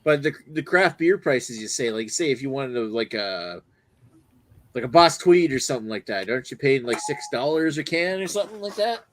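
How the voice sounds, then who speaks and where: A man's voice: medium pitch, lively intonation, normal speed. Laid-back man reviewing beer. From Canada. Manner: laid-back, swears casually. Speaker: podcast host reviewing beer. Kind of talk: casual banter.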